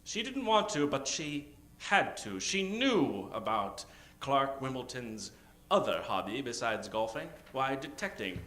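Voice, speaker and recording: Animated bright male old-time radio narrator. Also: medium pitch, measured pace. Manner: melodramatic, theatrical. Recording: roomy live stage sound.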